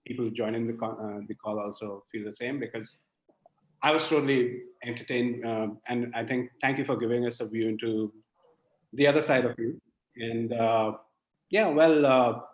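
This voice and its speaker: A man hosts a live online chat, asking questions in an easy, light-hearted way. His voice sounds roomy and a little muffled through lo-fi call audio, with an ordinary middle pitch. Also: normal speed. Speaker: host. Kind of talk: hosting a live online interview. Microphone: video call.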